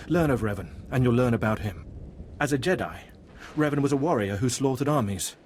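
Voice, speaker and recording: A man playing a devout, guarded cult mentor in a video game, speaking in a formal, reverent, measured way. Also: medium pitch, lively intonation, a little mellow, a little hiss or hum, lightly acted. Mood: solemn, reverent. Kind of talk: scripted video game voice acting.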